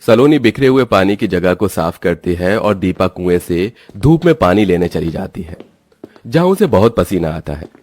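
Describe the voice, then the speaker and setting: A man's voice: low voice, expressive, moderate pace, darkish tone. A man reading scripted narration for a children's cartoon story as its narrator.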